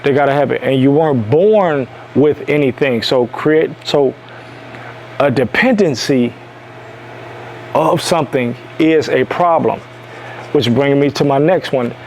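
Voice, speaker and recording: A man's voice: mid-range voice, unhurried, darkish tone, slight background noise. A man from Detroit giving a preachy motivational monologue as the host of a self-help video, stressing his points by saying them again. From Detroit, USA. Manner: emphatic, repeats lines for stress.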